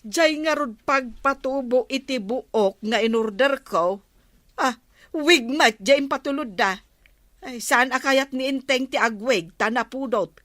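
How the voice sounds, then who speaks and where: A woman's voice: sits high, a little slow, a bit bright, no echo, studio quality. A woman acting an exasperated, gullible village captain's wife in a radio comedy drama, with an Ilocano accent and a whiny, exclaiming manner. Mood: puzzled.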